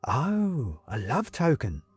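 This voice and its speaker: Storybook role: male reader as a nosy, genteel little china ghost, chatty and politely needling, very animated pitch, close dry sound over music. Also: takes it slowly, husky, no echo, full character voice. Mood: nosy, shocked. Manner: genteel, old-fashioned phrasing, needling politeness.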